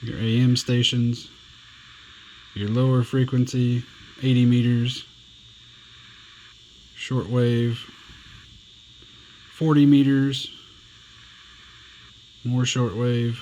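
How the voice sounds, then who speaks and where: A man talks through a gadget in a relaxed, plainspoken way, taking his time over each feature. His voice sits at an ordinary pitch and moves at a slow, easy pace. A faint hiss of room noise runs underneath. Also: rough recording. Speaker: radio reviewer. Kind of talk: casual hands-on product demo.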